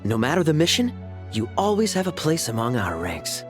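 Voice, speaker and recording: A man voicing a suave, easygoing knight in a video game, talking in a smooth, teasing way. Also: fairly high pitch, wildly swooping pitch, a little fast, soft background music. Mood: playful, coy. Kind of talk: video game character dialogue.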